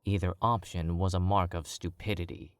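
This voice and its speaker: A man narrating a story in a calm, measured read. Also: low-pitched, no echo.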